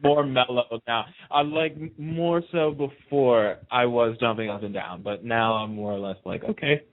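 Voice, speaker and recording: A man's voice: mid-range voice, moderate pace, narrow, tinny audio. A man chatting casually as a radio guest, telling stories and repeating phrases for emphasis. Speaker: radio host being interviewed. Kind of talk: casual chat, storytelling.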